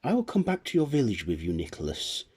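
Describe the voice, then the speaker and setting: A man voicing the Tooth Fairy, a gentle female fairy who collects teeth, speaking softly and kindly. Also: on the low side, wildly swooping pitch, quick pace, breathy edge, heavily acted.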